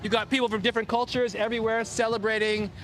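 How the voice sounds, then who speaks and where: Male parade marcher, very high bright voice, enthusiastic, outdoors, music behind. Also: level tone, fast talker, rough recording. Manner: enthusiastic, repeats praise.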